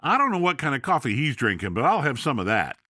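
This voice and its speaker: A man's voice: mid-range voice, huge pitch swings, fast, pushed and bright, dry recording, clean studio sound, full character voice. A man voicing a joking builder.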